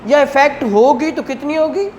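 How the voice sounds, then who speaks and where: A woman's voice: sits high, normal speed, darkish tone, slight background noise. A woman lecturing as a law teacher, reading out statute phrases and explaining them as she goes. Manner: explanatory, switches between English legal text and Urdu glosses.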